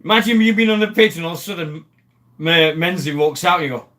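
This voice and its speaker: A man's voice: fairly high pitch, fast, fairly bright tone. Chatty man hosting a live stream. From Sheffield, England. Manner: rambling and chatty, greeting viewers and reading the chat aloud. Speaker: live stream host, former rugby league player. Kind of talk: casual live stream chat.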